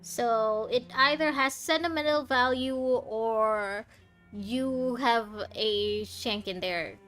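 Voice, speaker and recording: A woman's voice: fairly high pitch, takes it slowly, fairly bright tone, soft background music. A woman giving casual, rambling live commentary as she plays a video game. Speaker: game streamer.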